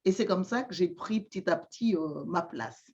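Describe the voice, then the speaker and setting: A woman from Madagascar, whose first language is not French, answering interview questions slowly with many pauses, fillers and repeated words. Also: lowish voice, animated, darkish tone, lo-fi sound. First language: Malagasy. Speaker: guest, shamanic therapist.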